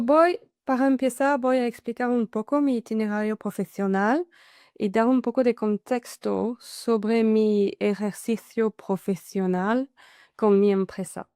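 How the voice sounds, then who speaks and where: A woman from France with a French accent speaks Spanish as a second language, giving an online masterclass presentation and often pausing with "am" and "so". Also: mid-range voice, dark tone, clean tone, no echo, studio quality. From Paris, France. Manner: hesitant, with many "am" and "so" fillers. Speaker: guest speaker, consultant.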